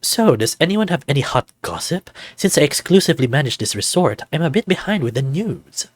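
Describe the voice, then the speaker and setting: A man's voice: on the high side, sing-song, fairly quick, no room sound, voice pushed up. A man voicing a flamboyant, theatrical snow leopard who runs a resort in a visual novel, speaking in a campy, dramatic manner. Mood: breezy, gossipy. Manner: dramatic, lavish wording, campy.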